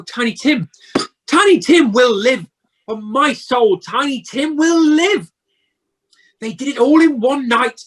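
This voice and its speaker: An actor playing an emotional, repentant old male miser in an amateur radio play, with theatrical, halting exclamations. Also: high voice, fairly bright tone, breathy edge, heavily acted. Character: repentant old male miser, desperate then giddily joyful. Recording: home video-call audio.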